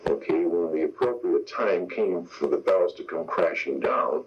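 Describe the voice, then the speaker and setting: A man's voice: sits low, unhurried, noisy background. An older man, a veteran radio sound effects man, explaining his craft step by step in an interview. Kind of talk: interview reminiscence. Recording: dull lo-fi radio broadcast tape.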